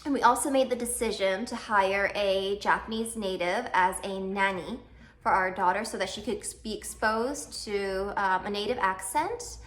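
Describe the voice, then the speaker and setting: A woman in her thirties, from Canada, talks casually to her viewers at a mid pitch. She rambles a little, with plenty of ums and uhs, and pokes fun at herself. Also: normal speed, a bit bright. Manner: chatty, self-deprecating, many fillers. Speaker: vlogger. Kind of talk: casual chat to camera.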